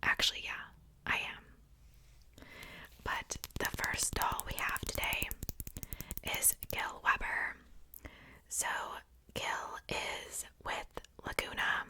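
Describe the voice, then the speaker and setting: A woman's voice: slow pace, pauses often. A young adult woman hosting ASMR, whispering casually in a rambling, informal show-and-tell with self-joking asides. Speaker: ASMR video host.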